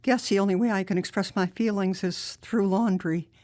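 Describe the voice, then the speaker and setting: A woman playing a middle-aged mother in a radio drama, gentle and hesitant, anxious to help her grieving son. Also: mid-range voice, fairly even intonation, normal speed, close to an everyday voice. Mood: hesitant, sad.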